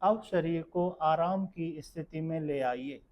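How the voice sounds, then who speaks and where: A man guiding a meditation session in a calm, measured, instructional manner. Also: a little high, highs cut off. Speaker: yoga nidra meditation guide. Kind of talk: guided meditation narration.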